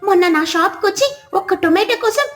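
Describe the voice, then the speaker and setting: A woman's voice: extremely high pitch, a little fast, fairly bright tone, echoey room, pitched above the performer's own voice. A woman voicing a teasing, gossipy village bird shopkeeper in a children's cartoon story. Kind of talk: children's animated story dialogue.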